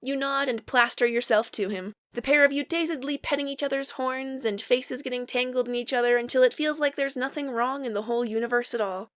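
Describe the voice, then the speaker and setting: A woman's voice: high-pitched, fairly even intonation, brisk, hardly any pauses, a bit bright. A woman co-reading a story aloud, narrating with casual asides. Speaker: podfic co-reader.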